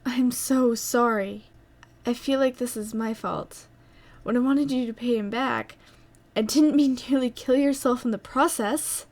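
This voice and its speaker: A woman's voice: a little high, a little slow, darkish tone. A woman playing a troubled, tender teenage girl in an amateur audio drama. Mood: guilty, worried.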